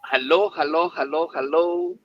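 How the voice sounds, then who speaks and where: A man's voice: fairly high pitch, steady tone, normal speed. A man with an East African accent, speaking informally as a coach on a live show. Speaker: coach, co-presenter. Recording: dull lo-fi sound, light background noise.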